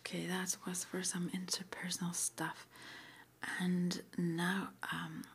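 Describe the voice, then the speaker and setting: A woman whispering softly and breathily as an interviewer in an ASMR roleplay, murmuring little acknowledgements between her questions. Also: on the low side, monotone, slow, a little hiss or hum. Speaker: interviewer at a witch school.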